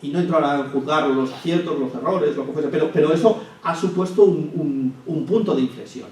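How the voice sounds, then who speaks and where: A man giving a public lecture, measured and thinking aloud with frequent restarts and hesitations. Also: on the high side, a little mellow. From Spain. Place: lecture hall. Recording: echoey hall, from far off, faint hiss.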